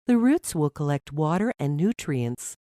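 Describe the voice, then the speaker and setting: A woman voicing a patient, encouraging mother who explains things gently to her child in a storybook. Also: deep voice, sing-song, unhurried, no echo, studio quality. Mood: patient, explanatory.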